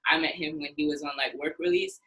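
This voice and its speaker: A woman hosting a podcast, telling stories in casual chat, animated and full of "like". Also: low-pitched, fairly quick, husky, low-quality recording.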